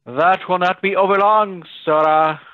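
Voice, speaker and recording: A man's voice: sits high, fairly even intonation, a little slow, dry recording. A man playing a menacing, gloating nobleman villain with theatrical delivery in an old-style radio play. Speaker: actor. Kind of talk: 1930s radio acting. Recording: dry, tinny phone-like audio.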